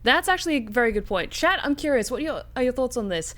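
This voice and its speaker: A woman's voice: high voice, very animated, fast talker, a bit bright. A woman streamer giving casual commentary while playing a game, talking in a chatty, wry, sarcastic way into a close mic.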